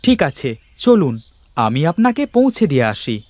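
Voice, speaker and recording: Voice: mid-range voice, sing-song, unhurried, a little mellow, dry recording. A performer voicing a kind, dutiful village boy in a cartoon, earnest and eager in manner. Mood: helpful.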